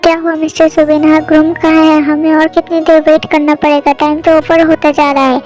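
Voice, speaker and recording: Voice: high voice, little pitch movement, a little fast, a little mellow, soft background music, lightly acted. A young performer voicing an impatient middle-aged wedding priest who complains about waiting.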